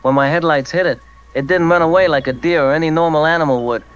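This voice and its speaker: A man's voice: on the high side, fast, smooth, soft background music, natural voice. A man playing a rattled veteran truck driver calling into a radio show in an audio drama. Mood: rattled, earnest. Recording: dull lo-fi call-in sound.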